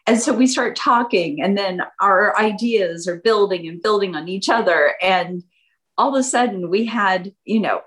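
A woman in her fifties, a guest chatting casually in an interview, animated and acting out what her friends said. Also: moderate pace. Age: 50s. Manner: animated, acts out quoted friends in a higher voice. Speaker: guest entrepreneur.

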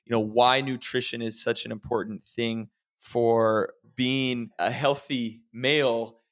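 A man with an ordinary mid-range voice talks things through in a relaxed, reflective way, often turning to his listeners to encourage them. He sounds like a health coach in an easygoing podcast conversation. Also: medium pitch, slow. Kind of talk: casual podcast chat.

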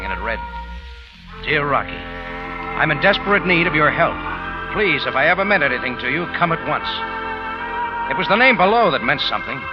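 An actor playing a tough, sardonic American cafe owner in a hard-boiled 1950s radio drama. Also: male voice, on the high side. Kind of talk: 1950s radio acting and narration. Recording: muffled old radio recording, loud music.

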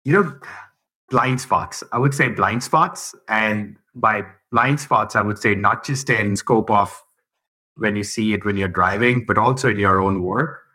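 Indian guy on a podcast, low raspy voice, easygoing, laughs a lot. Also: measured pace. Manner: relaxed, laughs easily, thinks aloud. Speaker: podcast guest. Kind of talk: casual interview chat.

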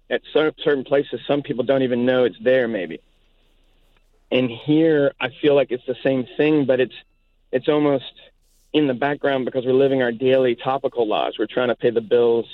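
A man's voice: mid-range voice, no echo. A man from South Louisiana, a native Cajun French speaker, calling in and talking reflectively, searching thoughtfully for words. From South Louisiana, United States. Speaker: caller. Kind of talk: reflective call-in talk. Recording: narrow phone line.

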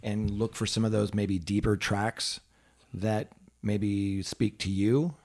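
Male interviewer. Low, fry-heavy voice, conversational questioning style. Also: steady tone, takes it slowly, a little mellow.

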